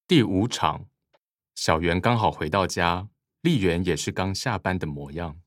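A man's voice: deep voice, expressive, a little slow, dry recording, studio quality. A man with a Taiwanese Mandarin accent narrating a scripted radio drama. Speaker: narrator reading synopsis, credits and stage directions.

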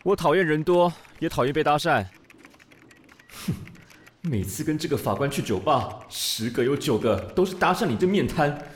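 A man's voice: on the high side, lively intonation, normal speed, lightly acted. A man with a Taiwanese Mandarin accent playing a proud, foul-mouthed, easily flustered police officer who blusters, grumbles and sputters in an audio drama. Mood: smug grumbling. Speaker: voice actor.

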